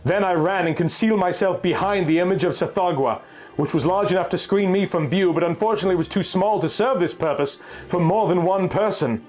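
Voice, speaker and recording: A man reading a first-person pulp horror story as a narrator, in a formal, measured, dramatic literary style. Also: high-pitched, darkish tone. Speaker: audiobook narrator. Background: music.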